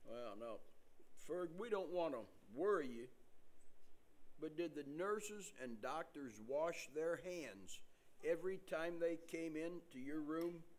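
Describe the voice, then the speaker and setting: An older man playing a tactless, joke-telling hospital visitor in a comic stage reading, speaking with deliberate timing. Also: a little high, lively intonation. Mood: ominously helpful.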